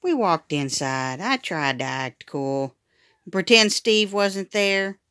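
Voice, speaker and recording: A woman reading a children's book aloud in an expressive, dramatic storytime style. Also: lowish voice, slow, clear, resonant tone, no room sound, studio quality. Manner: animated, dramatic. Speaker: storytime reader.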